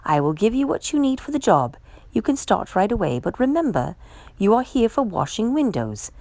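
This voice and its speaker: A woman reading the part of a brisk, bossy male elevator operator giving firm instructions in a children's storybook. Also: medium pitch, sing-song, creaky, a little mellow, no room sound, pitched above the performer's own voice. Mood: firm, instructing.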